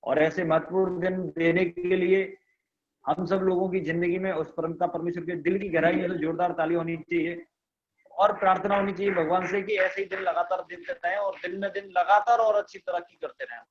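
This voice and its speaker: A man's voice: sits high, little pitch movement, brisk, lo-fi sound. A man running a motivational business training on a video call, repeating his points with emphasis and putting questions to the audience.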